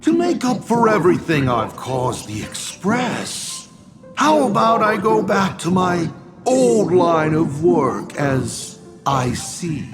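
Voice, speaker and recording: A man voicing a teasing, theatrical talking die in a video game, smooth-talking and mock-polite. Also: sits high, big pitch swings, slow, loud music behind the voice, full character voice. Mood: wry.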